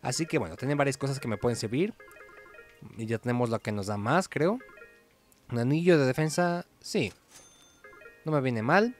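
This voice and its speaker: A man's voice: mid-range voice, lively intonation, moderate pace, long pauses, faint music behind. A man giving casual, chatty commentary as he hosts a gameplay video. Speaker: gaming video host.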